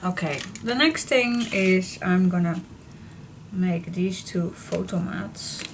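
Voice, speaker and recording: Slow, slightly hoarse crafting woman. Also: fairly low pitch, slight background noise. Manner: chatty, slow and relaxed, talks to herself while she works. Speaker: papercraft tutorial host. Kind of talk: casual crafting tutorial talk.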